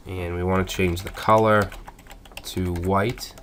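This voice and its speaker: A man with a stuffed-up voice from a cold, calmly explaining web code step by step in a screencast tutorial. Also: sits low, takes it slowly, slight background noise. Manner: methodical, thinking aloud with fillers. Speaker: coding tutorial instructor.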